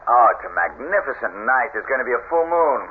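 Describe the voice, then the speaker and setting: Guy acting suave affectionate husband in old radio play, lively pitch swings, thin tinny broadcast. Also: brisk, noisy background, lightly acted. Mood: jovial, affectionate. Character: suave film-star husband, affectionate and self-assured. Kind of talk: 1970s radio drama acting.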